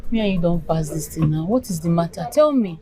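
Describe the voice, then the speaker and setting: A woman's voice: on the low side, expressive, brisk, over music, lightly acted. A woman with a Nigerian Pidgin accent playing a caring young friend who keeps probing for answers. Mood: insistent. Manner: probing, insistent.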